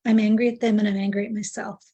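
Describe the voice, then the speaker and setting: A woman in her sixties with a gravelly, husky voice speaks in a flat, level tone. She talks candidly about her feelings and repeats the practitioner's phrases back one by one. Her delivery is reflective and unhurried. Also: mid-range voice, dull audio. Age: 60s. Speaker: client in a tapping session. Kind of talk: echoing affirmations after the practitioner.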